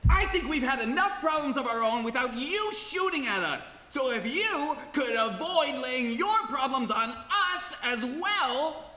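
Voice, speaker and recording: A man's voice: very high-pitched, big pitch swings, measured pace, pushed and bright, heavily acted. A man playing an exasperated, reasonable space traveller, politely sarcastic and fretful, in a live comedy radio play. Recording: echoey hall, live stage.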